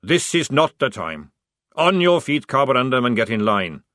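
A man voicing a gruff male army sergeant who barks orders, in a storybook reading. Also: mid-range voice, big pitch swings, moderate pace, a bit bright, dry recording, studio quality. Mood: commanding. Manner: barking orders.

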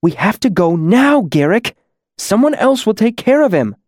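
Man voicing an urgent, high-pitched masked woman. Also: animated, moderate pace, mellow, no echo, clean studio sound, natural voice. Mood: pleading, urgent. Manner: urgent, nervous hissing. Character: urgent young woman in a demon mask, nervous and sharp.

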